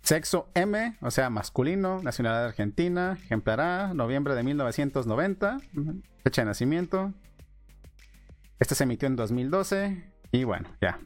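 A man's voice: mid-range voice, big pitch swings, moderate pace, soft background music. A man with a Mexican accent reading a post aloud and commenting on it in a casual, sarcastic way. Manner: sarcastic, laughs at what he reads.

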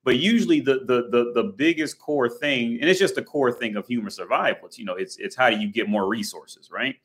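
A man's voice: mid-range voice, a little fast. An American man in his thirties talking to camera in a rambling, blunt monologue, full of restarts and fillers and casual swearing. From United States. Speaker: vlogger.

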